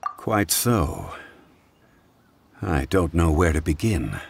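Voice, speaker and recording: An actor playing a calm, erudite, courteous male consultant in a video game, speaking formally and with measured poise. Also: very deep voice, creaky, a little hiss or hum, full character voice. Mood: measured, gracious. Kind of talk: scripted game dialogue. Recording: music behind the voice.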